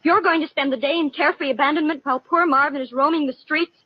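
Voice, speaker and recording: A woman's voice: sits high, steady tone, quick pace, dry recording, natural voice. A woman playing an anxious, melodramatic, lovestruck young woman in a 1950s radio drama, with breathless, earnest pleading. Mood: indignant, fond. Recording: muffled vintage radio broadcast.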